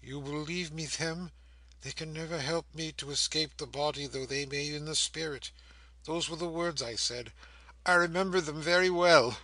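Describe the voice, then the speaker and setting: Man acting a frail, anguished old prisoner, pitched up. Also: bright, forceful tone, dry recording. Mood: wistful remembering. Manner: halting, repetitive, exclamatory questioning.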